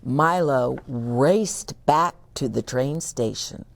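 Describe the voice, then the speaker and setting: A middle-aged woman reading a children's picture book aloud at a very slow, deliberate pace. Her voice swoops with lively expression and has a gravelly edge at the ends of phrases. She pauses to explain words for young listeners. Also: a bass voice. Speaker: children's story reader.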